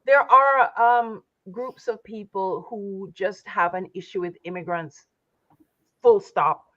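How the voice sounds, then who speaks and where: A woman interviewer asking measured, encouraging questions over a video call. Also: medium pitch, steady tone, fairly bright tone, no echo. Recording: dry, slightly dull audio.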